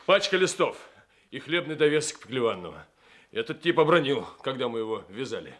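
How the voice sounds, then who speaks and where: Sharp-voiced, gruff police underling. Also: male voice, medium pitch, sing-song, a little fast. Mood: dutiful, servile. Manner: barking, clipped, deferential to his superior. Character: gruff, servile police underling, bossy with prisoners. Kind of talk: Soviet radio drama acting.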